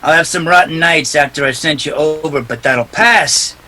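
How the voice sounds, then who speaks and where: A hard-boiled private eye from a 1940s-style radio play, acted by a man. His voice is sharp, forceful and husky, pitched high for a man. He speaks in a tough, clipped, unyielding way. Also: normal speed. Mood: torn, resolute. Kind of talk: 1940s radio drama acting.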